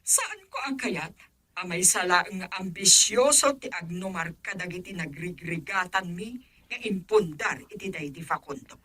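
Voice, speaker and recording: A stern middle-aged mother in a radio drama. Her voice is sharp and rough, and she swings dramatically through her range with proud disapproval. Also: lowish voice, lively intonation, normal speed, exaggerated voice. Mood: adamant. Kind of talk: Ilocano radio drama acting.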